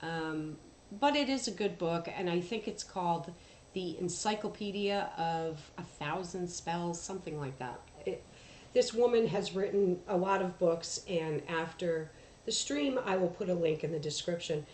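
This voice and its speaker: A woman chatting casually on a livestream, congested from allergies, rambling with many ums and throat clears, recorded through a headphone mic across the room at home. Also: lowish voice, unhurried, a little mellow. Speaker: livestream host. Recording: echoey room, faint background noise.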